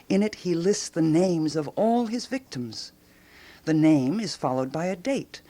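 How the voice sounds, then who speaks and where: A woman acting in a radio drama as a ruminative amateur detective who theorizes aloud with deliberate, rhetorical phrasing and dramatic pauses. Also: deep voice, warm tone, exaggerated voice. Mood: insistent, analytical.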